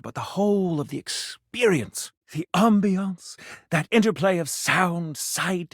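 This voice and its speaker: A man's voice: on the high side, dry recording, clean studio sound. An actor voicing a pompous, theatrical male food critic for a video game, speaking in a florid, haughty way and savoring each word. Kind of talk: video game character acting.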